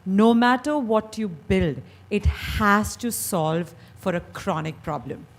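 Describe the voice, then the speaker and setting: Indian-accented woman presenting at a board meeting, earnest and persuasive, mid-pitched, pace rushing and slowing. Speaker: company founder presenting. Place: meeting hall.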